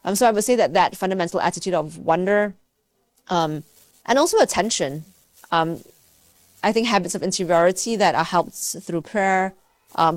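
Thoughtful, halting lower-voiced woman, dry sound. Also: a little mellow, dry recording. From Malaysia. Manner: halting, thoughtful, searching for words. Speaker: scientist and university teacher, interview guest. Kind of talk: reflective conversational interview answers.

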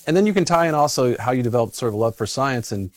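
Fast-talking male interviewer, dry close sound. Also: medium pitch, animated, no room sound. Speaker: reporter, interviewer. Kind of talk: conversational interviewing.

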